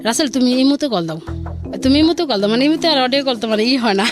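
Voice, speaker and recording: A woman's voice: high voice, lively intonation, very fast. A woman guest telling a personal story informally, rambling and quoting dialogue as she goes. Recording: music bed under the voice. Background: background music.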